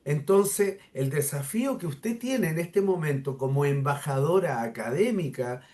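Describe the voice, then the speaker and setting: A man's voice: fairly high pitch, lively intonation, unhurried, a little mellow. A middle-aged Chilean man with a Chilean accent, speaking formally in a discussion with many hesitant fillers and emphatic stresses. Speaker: interviewer. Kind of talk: formal discussion, addressing a researcher.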